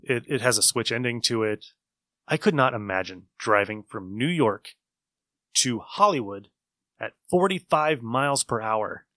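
Bright-voiced man, rambling enthusiast. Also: mid-range voice, animated, unhurried, no echo, clean studio sound. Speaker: podcast host. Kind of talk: casual chat reviewing old radio shows.

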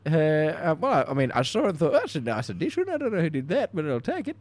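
Playful man, high and lively. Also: fast. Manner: playful, mock-formal questioning. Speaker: podcast co-host. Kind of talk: comedic podcast banter.

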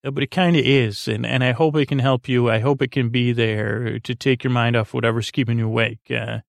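Sleepy podcast guy, gravelly and kinda flat, wanders and stumbles over his words, super dry recording. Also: medium pitch, no room sound. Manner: meandering, halting, repeats words. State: tired. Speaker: podcast host. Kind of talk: rambling bedtime monologue to help listeners sleep.

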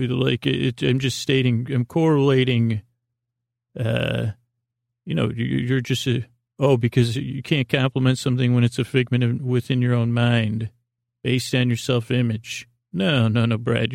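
A male podcast host improvises a silly bedtime monologue in a voice full of creak and fry. He wanders into tangents, repeats himself and talks as if to an imagined listener. The sound is very dry, with no room around the voice. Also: medium pitch, darkish tone, no echo. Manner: meandering, repetitive, trails off into tangents.

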